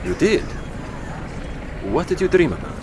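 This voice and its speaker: A man playing a protective, gentle father in an audio drama, with a reassuring, caring manner. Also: on the high side, big pitch swings, measured pace, noisy background. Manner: reassuring, soft-spoken with his child. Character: protective, gentle father, calm helper tending the injured.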